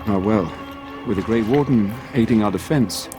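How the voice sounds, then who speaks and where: A man's voice: fairly low pitch, moderate pace, music in the background, lightly acted. A male actor playing a solemn, courteous knight who defends a village, speaking formally in a fantasy game. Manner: formal, archaic phrasing. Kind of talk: fantasy game dialogue acting.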